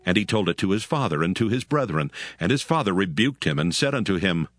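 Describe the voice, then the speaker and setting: A man reads scripture as a solemn dramatic narrator. His voice is fairly low with expressive rises and falls, and music plays clearly behind him. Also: quick pace, dry recording. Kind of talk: dramatic scripted Bible reading.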